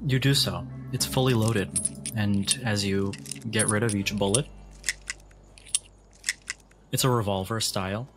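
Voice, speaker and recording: Male game master, fairly low voice, tabletop narration, music behind. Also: measured pace, long pauses.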